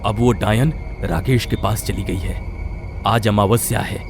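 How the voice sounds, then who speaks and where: A man's voice: on the low side, fairly even intonation, measured pace, gravelly, soft background music. A man playing an old tantric holy man in a horror story, solemn and resolute, speaking emphatically. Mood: grave, urgent. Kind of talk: dramatic horror story acting.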